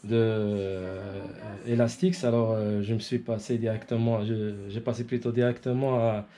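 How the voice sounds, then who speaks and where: Mellow man, halting speech. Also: on the low side, level tone. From Morocco. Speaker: amateur radio operator and guest. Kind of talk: informal technical demonstration.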